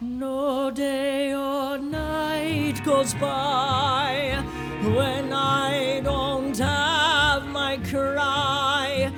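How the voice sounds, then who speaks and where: A woman's voice: high-pitched, completely flat delivery, drawn-out words, music in the background. A woman singing a tender, yearning love ballad. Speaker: singer. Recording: full orchestral backing.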